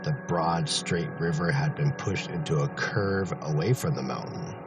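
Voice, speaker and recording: A man giving a grave, deliberate, dramatic narration of a fantasy novel. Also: extremely low pitch, far from the mic. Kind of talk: dramatic audiobook-style scripted narration. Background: music.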